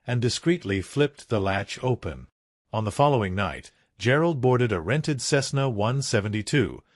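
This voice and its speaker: A man's voice: medium pitch, dry recording, studio quality. A man narrating a scripted true-crime documentary, telling it dramatically in short, punchy phrases.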